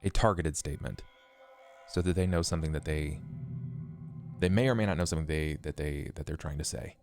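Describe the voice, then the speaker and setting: Male tabletop player, deep voice, thoughtful halting delivery, clear music underneath. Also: a little mellow. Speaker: player in a tabletop role-playing game. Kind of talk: in-game discussion of a character's actions.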